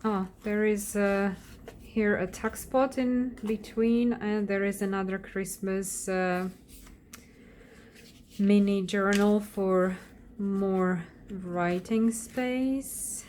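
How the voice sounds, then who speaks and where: A woman whose first language is Slovak, giving an unscripted flip-through of a handmade craft journal in a hesitant manner, often pausing and searching for words. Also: medium pitch, fairly even intonation, darkish tone, slight background noise. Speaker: craft vlogger.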